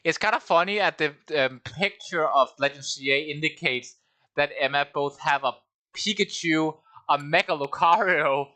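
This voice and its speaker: Bright-voiced man. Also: fairly high pitch, normal speed. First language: Danish. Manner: rambling, self-deprecating, talks to himself. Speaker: streamer. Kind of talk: casual game livestream commentary.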